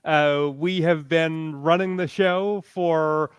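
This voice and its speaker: A man with a smooth, clean voice pitched a little high chats as a panelist. The sound is dry and close, and his delivery is conversational. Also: steady tone, slow pace, dry recording. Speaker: panelist and podcaster. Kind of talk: casual panel discussion.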